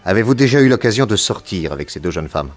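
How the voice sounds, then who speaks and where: A private detective in a radio crime drama. He has a fairly low voice and a composed, authoritative way of putting questions. Light music sits faintly underneath. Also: lively intonation, fast talker. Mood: methodical. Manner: methodical, questioning, reassuring authority. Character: Nick Carter, calm authoritative male private detective.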